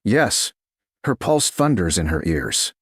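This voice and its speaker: A man narrating a scripted romance audiobook in short, deliberate, dramatic phrases. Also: fairly low pitch, sing-song, husky, no room sound, clean studio sound.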